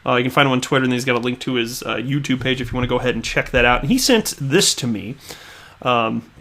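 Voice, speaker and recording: A man's voice: mid-range voice, fast talker, a bit bright. A man from the American Midwest talking casually on his own video channel, chatty and full of fillers, often repeating his words. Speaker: crypto YouTuber. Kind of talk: casual commentary reading tweets.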